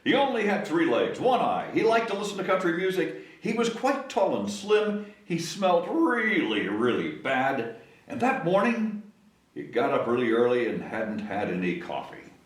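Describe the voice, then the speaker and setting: A man's voice: fairly high pitch, very animated, normal speed. A man reading a picture book aloud to children in a playful, coaxing way, stretching words for effect and inviting listeners to join in. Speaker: story time reader, host. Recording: echoey room, distant microphone.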